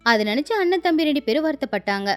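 A woman's voice: high voice, expressive, fast, soft background music. A woman telling a scripted moral tale as its storyteller.

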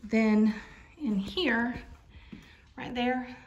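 A woman's voice: fairly high pitch, fairly even intonation, very slow, highs cut off. Chatty woman touring a home. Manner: chatty, rambling, many fillers. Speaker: vlogger touring a mobile home. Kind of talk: casual home tour walkthrough. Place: inside an empty model home. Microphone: handheld phone camera.

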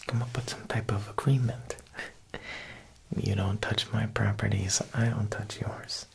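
A young man playing an Italian mob boss tailor in a whispered ASMR roleplay, with an Italian-American accent and a smooth, insinuating manner. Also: fairly low pitch, rough recording. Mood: sly, negotiating. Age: young adult. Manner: slow, hushed, insinuating, with pauses.

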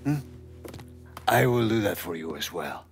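Slow, deep, menacing Italian gangster. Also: male voice, expressive, creaky, soft background music, exaggerated voice. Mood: menacing, satisfied. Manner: formal, deliberate, menacing. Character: menacing, coldly courteous male foreign drug supplier. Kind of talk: dramatic video game dialogue.

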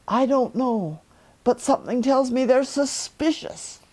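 A woman voicing an earnest, anxious little toy bear in a children's storybook, speaking in deliberate, slightly pompous phrases. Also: high voice, lively intonation, a little mellow, pitched above the performer's own voice, low-quality recording. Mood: secretive, earnest. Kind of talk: children's storybook read aloud.

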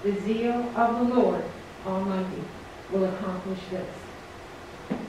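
Woman reading scripture in an echoey church. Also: medium pitch. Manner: steady, deliberate reading. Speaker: Advent reader. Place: small church sanctuary, standing at the front. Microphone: stand mic, far camera. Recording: echoey church room from a distant camera, background noise.

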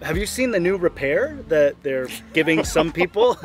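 A man in his forties vlogging in an excitable, animated, jokey way, filming himself in a car and on a boat. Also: on the high side, normal speed, faint music behind. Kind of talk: travel vlog narration. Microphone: camera held at arm's length.

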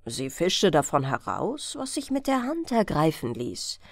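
A woman's voice: low voice, big pitch swings, unhurried, no echo, studio quality. A woman reading a scripted bedtime fairy tale as an audiobook narrator.

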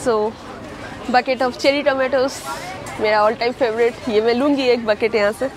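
A woman's voice: fairly high pitch, steady tone, slow, rough recording. Chatty woman shopping an outdoor market. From India. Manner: chatty, talks to viewers while handling produce. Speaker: vlogger. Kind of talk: casual vlog chat while shopping. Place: outdoor farmers market stalls. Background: market crowd chatter.

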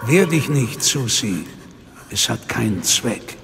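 An actor playing a sinister, commanding and fanatical male cult master in a horror radio drama, with a gravelly voice and a slow, imperious, theatrical manner. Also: mid-range voice, lively intonation, very noisy. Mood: menacing, cold. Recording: loud music behind the voice. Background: loud dramatic music.

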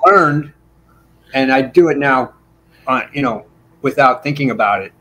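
A man in his sixties, a bassist guest telling long rambling stories in a casual interview over a video call from a small home room. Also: animated, measured pace, sharp tone, a little hiss or hum, low-quality recording. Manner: talkative, rambling storyteller. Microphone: video call with desk mic.

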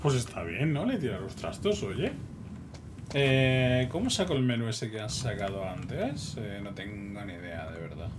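A man with a Spain Spanish accent giving casual, excitable commentary while he plays a game. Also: fairly low pitch, unhurried. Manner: chatty, excitable, talks to himself. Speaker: gameplay streamer. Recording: noisy recording.

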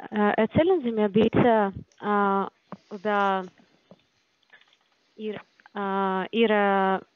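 Halting young woman on a dull phone line. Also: medium pitch, no echo. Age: 20s. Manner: halting, hesitant, broken phrasing. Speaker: phone caller posing as finance company agent. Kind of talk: phone conversation. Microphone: phone call.

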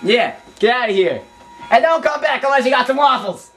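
A man's voice: extremely high pitch, lively intonation, a little fast, music in the background, voice pushed up. A young man playing a bossy, grumpy father who scolds his kid and swears at the plumber, taped on a far-off camcorder in a house. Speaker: skit actor. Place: small house rooms, indoors. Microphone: far camcorder mic. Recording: echoey room, far-off camcorder.

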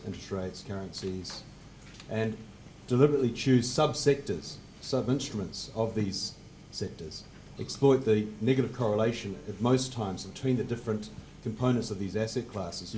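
A man in his forties answering on a conference panel at a table mic, measured and technical, his phrases fading at the ends. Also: fairly low pitch, dark tone, slight background noise, low-quality recording. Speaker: panelist, fund manager. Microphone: table mic on stand.

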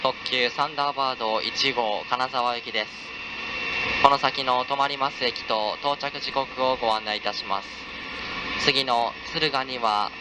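A man's voice: medium pitch, steady tone, moderate pace. A man making a polite, formal scripted announcement as a train conductor. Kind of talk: live scripted onboard announcement. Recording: loud train roar over PA announcement. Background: loud train running noise.

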